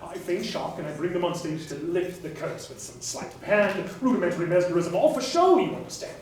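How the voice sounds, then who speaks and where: An actor playing a pompous, sly carnival doctor with florid, formal speech in musical theatre. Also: male voice, sits high, animated, a little fast, darkish tone. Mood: smoothly evasive. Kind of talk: musical theatre stage acting. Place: staged reading before live audience. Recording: echoey hall, distant mic, music behind.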